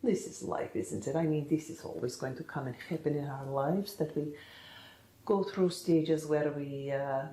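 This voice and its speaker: A woman giving a soft-spoken ASMR-style tarot reading in a gentle, reassuring, musing manner, often pausing to check in with the listener. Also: deep voice, roomy sound, poor audio. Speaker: tarot reader.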